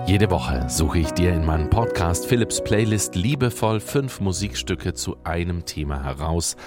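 A male radio presenter reading a scripted podcast promo, gently and intimately addressing one listener. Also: sits low, animated, normal speed, loud music behind the voice.

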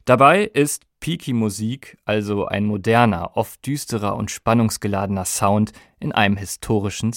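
A man's voice: fairly low pitch, no room sound, studio quality. A man presenting a scripted podcast documentary in an explanatory way, speaking directly to listeners. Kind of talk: scripted music documentary narration.

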